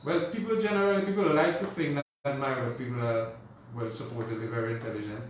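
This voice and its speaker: A man's voice: mid-range voice, fairly even intonation, quick pace. A man with a Dominican accent, talking casually as an interview guest in a rambling, conversational way. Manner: rambling, often says "you know". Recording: very echoey room, distant mic, noisy.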